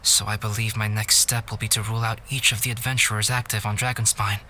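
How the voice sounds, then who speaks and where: An actor playing a calm, analytical young investigator in a video game, speaking in a measured, articulate way. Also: male voice, on the low side, a little hiss or hum. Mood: composed, pensive. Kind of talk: video game cutscene acting.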